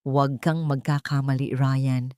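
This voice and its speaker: A woman's voice: rumbling low, level tone, measured pace, darkish tone, dry recording, pitched below the performer's own voice. A cold, venomous rich matriarch , as a woman narrator puts her on gives orders in a Filipino accent. She is menacing and commanding, a melodrama villain in a dry recording. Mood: threatening.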